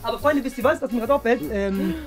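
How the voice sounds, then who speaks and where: A young man hosting a challenge vlog with playful banter, animated and teasing. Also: very high voice, fast. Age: young adult. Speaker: host. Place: small indoor studio storage rooms. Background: music.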